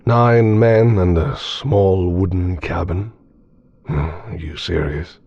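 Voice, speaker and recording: A man performs a coldly menacing immortal in a dark fantasy audio drama. His low, husky voice is haughty and condescending, with theatrical pauses, in a dry, slightly muffled recording. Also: no echo, full character voice.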